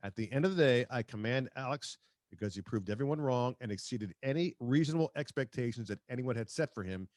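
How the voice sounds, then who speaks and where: A man hosting a sports talk show, speaking conversationally and haltingly as he comments and reads out quotes. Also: mid-range voice, animated, a little mellow, no room sound.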